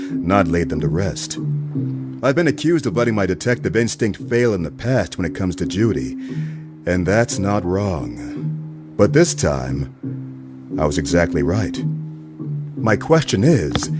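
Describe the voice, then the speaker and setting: An actor playing a weary, suspicious private detective in a noir radio play, with a dry, sardonic deadpan manner. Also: male voice, fairly low pitch.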